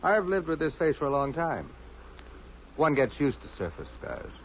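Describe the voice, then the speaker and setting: An actor playing a courteous, world-weary, cultured doctor in an old radio drama, with a refined and hesitant manner. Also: male voice, fairly high pitch, wildly swooping pitch, normal speed. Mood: resigned, gentle. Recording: muffled old radio recording, faint noise.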